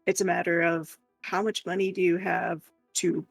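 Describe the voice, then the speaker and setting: Gravelly higher-voiced man in casual chat. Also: flat delivery, moderate pace, a bit bright, dry recording, soft background music. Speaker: co-streamer.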